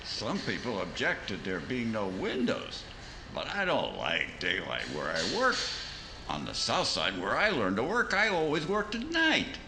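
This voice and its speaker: Sly American mob boss, gravelly, wildly swinging pitch, vintage radio crime style, roomy noisy recording. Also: male voice, huge pitch swings, measured pace, exaggerated voice. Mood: smug, boastful. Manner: coy, mock-innocent tough-guy talk. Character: smooth, sly Chicago jukebox-king gangster who hates newspapers. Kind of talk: 1950s radio crime drama acting.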